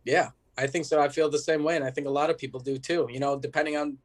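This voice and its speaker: A man's voice: a little high, fairly even intonation, fast. A man appearing as a podcast guest, chatting casually with informal, laid-back, slangy phrasing. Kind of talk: casual chat.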